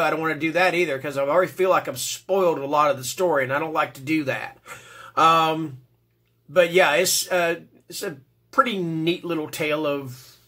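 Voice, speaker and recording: A man's voice: on the high side, bright, forceful tone. A man in his fifties with a stuffy, congested voice, hosting a rambling casual book review from a small room at home, full of fillers and restarts. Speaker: book reviewer, channel host. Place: small dim home room, seated indoors.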